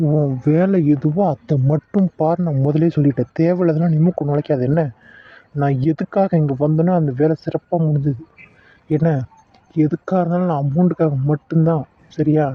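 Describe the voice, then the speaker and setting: A man voicing a corrupt male doctor who speaks in a slow, low and intimidating way. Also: fairly even intonation, dry recording, muffled recording, lightly acted. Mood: threatening. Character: menacing corrupt male doctor, cold and threatening.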